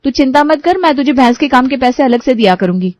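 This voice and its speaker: A woman's voice: high voice, animated, fast, no echo. A woman voicing a practical, firm young daughter-in-law in a cartoon story, slightly lo-fi.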